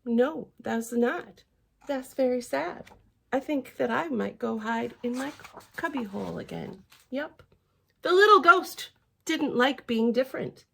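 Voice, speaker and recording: Slow, very animated woman storyteller, high voice. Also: creaky, breathy edge. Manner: theatrical and expressive, with gasps and hushed asides. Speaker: children's library storyteller. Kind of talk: picture-book read-aloud with chatty asides to young children.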